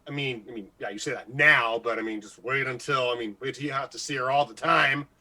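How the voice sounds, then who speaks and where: A man playing an excitable, jealous, insecure morning radio host in a radio comedy-drama, stammering, gushing and evasive. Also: medium pitch, a little fast. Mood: reluctant.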